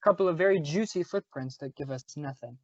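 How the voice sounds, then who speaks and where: A woman's voice: low voice, quick pace, darkish tone, no echo. A woman playing a matter-of-fact male police detective in an old-time radio drama, terse and businesslike. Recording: dull lo-fi audio.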